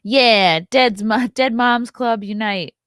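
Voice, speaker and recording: A woman's voice: on the high side, takes it slowly, fairly bright tone, dry recording, studio quality. A woman in her thirties hosting a casual livestream, reading chat comments and reacting with blunt, sarcastic commentary and frequent swearing. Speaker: livestream host.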